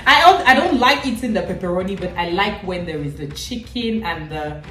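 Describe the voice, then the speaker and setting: A woman's voice: fairly low pitch, animated, fairly quick, fairly bright tone. A woman in her thirties from Cameroon, a vlogger mother hosting a casual family quiz at home in a playful, teasing way. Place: indoor living room at home. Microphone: camera set back from the speaker. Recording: echoey room, distant camera, faint music.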